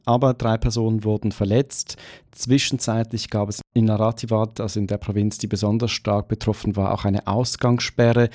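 A man's voice: on the low side, a little mellow, dry recording, studio quality. A man working as a foreign correspondent, explaining events in a radio news interview.

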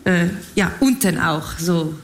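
A woman's voice: on the low side, roomy sound, noisy background. A woman playing Olli, a chatty clown from Geneva who speaks German with a French lilt and slips into French interjections. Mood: playful. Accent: French-speaking Swiss. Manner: slow, rambling, French interjections. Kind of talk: clown stage act.

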